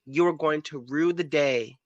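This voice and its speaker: A man chatting casually and giving animated opinions in a sassy, campy, emphatic way, full of slang. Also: on the high side, a little slow, dry recording, highs cut off. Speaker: panelist on a pop culture talk show.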